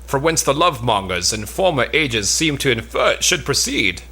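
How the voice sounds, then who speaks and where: A man playing a pompous, foolish braggart knight who declaims grandly in a comic Elizabethan stage play, with a rough tone. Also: mid-range voice, very animated, moderate pace, bright, forceful tone, heavily acted. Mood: haughty. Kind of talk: comic Elizabethan stage drama, cold read.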